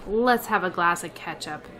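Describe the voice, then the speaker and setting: A woman's voice: on the low side, takes it slowly, sharp tone, soft background music, a faint crowd. A woman playing a long-suffering schoolteacher in an old-time radio comedy, with dry, sarcastic delivery. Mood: exasperated, dry.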